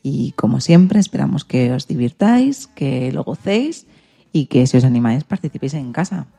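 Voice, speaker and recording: A woman's voice: a bass voice, big pitch swings, normal speed, gravelly, dark tone, husky, faint music behind. A woman speaking as a presenter on a radio programme. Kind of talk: radio talk.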